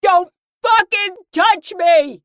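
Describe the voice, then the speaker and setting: A woman's voice: very high-pitched, slow, fairly bright tone, no echo, voice pushed up, heavily acted. A woman voicing a distraught young woman in an audiobook, crying and screaming in anguish. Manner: hysterical, crying, shrieking. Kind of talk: audiobook dialogue.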